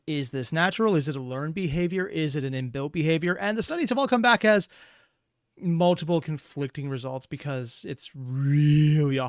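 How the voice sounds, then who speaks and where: A man's voice: a little high, no echo, studio quality. A man hosting a reaction video, talking casually in a rambling, analytical way.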